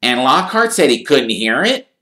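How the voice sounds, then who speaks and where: A man voicing a tired schoolboy who complains in excited chatter. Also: mid-range voice, normal speed, roomy sound, pitched above the performer's own voice. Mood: grumbling. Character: tired, grumbling schoolboy friend.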